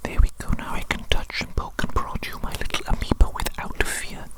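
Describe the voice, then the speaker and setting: A performer whispering as a doting, eccentric evil doctor in an ASMR roleplay, breathy and theatrical, soothingly repeating phrases. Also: a little fast, lightly acted. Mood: gentle, doting. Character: doting, eccentric evil doctor fussing over a created monster.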